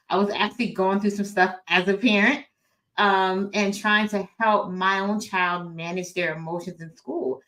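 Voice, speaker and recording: A woman's voice: medium pitch, a bit bright, rough recording. A middle-aged woman, an author and educator, talking informally as a guest speaker. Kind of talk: informal introduction and personal talk.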